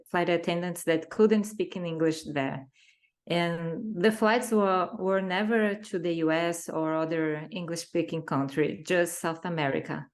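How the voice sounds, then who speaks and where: Brazilian lady talking slow and careful in english, lowish voice, portuguese is her first language. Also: a little mellow. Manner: careful, searching for words in a second language. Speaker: interview guest. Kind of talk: conversational interview answers.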